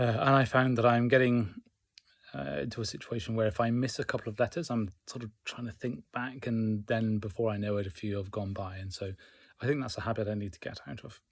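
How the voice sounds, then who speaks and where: A man recounting his day in a casual personal vlog, speaking in a reflective, measured and somewhat hesitant way. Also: mid-range voice, creaky, slightly rough, no room sound. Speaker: hobbyist learner keeping a progress diary.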